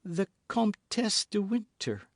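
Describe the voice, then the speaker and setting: A man's voice: high voice, very animated, slow pace, no room sound, studio quality, voice pushed up, full character voice. A man voicing a frail young woman who speaks in a faint, fading voice. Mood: faint, dying.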